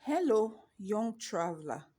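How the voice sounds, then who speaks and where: A woman reading as a slow-spoken wise old sage. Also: medium pitch, very animated, dark tone, slightly rough, exaggerated voice. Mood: warm welcoming. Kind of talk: storybook character reading.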